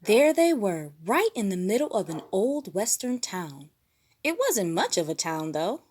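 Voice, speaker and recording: A woman reading a children's storybook aloud in an expressive narrating style. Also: medium pitch, a little slow, husky.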